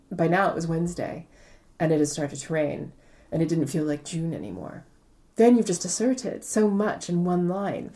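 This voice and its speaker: A woman's voice: fairly low pitch, mellow. A woman author being interviewed, explaining things articulately, step by step.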